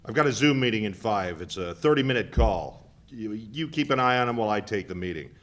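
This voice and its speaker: Man acting an animated, irritated husband and father. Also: on the low side, fairly quick. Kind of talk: dramatic play reading.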